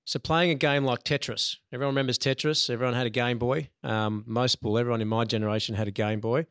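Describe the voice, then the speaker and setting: Podcast co-host, smooth clear voice, earnest, halting, dry sound. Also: male voice, medium pitch, steady tone, a bit bright, no echo. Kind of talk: casual podcast chat.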